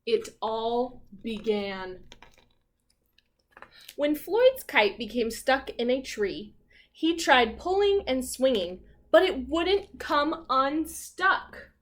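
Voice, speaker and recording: A woman teacher leading an interactive read-aloud for young children, asking questions and reading with animated emphasis. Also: high voice. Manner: asks questions and pauses as if hearing answers. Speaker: elementary school teacher.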